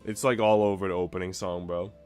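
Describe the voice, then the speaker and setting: Male game streamer, lowish voice, informal and slangy, music playing behind him. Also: steady tone, fairly quick, a little mellow, clear, resonant tone. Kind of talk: casual chat mixed with reading visual novel narration aloud.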